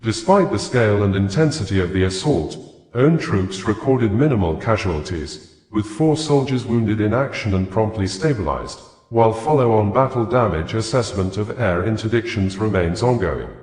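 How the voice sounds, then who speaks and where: A man reading scripted news reports in a formal, measured manner. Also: on the low side, lively intonation, darkish tone. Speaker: news reader. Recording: echoey, reverberant room sound.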